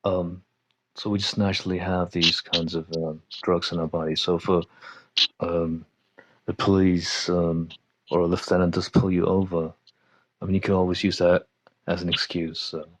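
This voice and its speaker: A man from Manchester, a guest on a podcast panel, talking in halting bits full of "um" pauses and restarts. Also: sits low, flat delivery, a little hiss or hum, low-quality recording. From Manchester, England. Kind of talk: casual chat.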